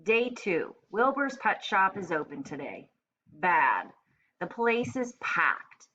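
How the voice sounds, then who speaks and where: Woman reading as a sarcastic, bright-voiced storybook parrot. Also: medium pitch, takes it slowly, highs cut off, a little hiss or hum, exaggerated voice. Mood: deadpan, weary. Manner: deadpan, exasperated, dramatic complaining. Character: grumpy, sarcastic, indignant pet parrot keeping a diary. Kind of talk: children's storybook read-aloud in diary form.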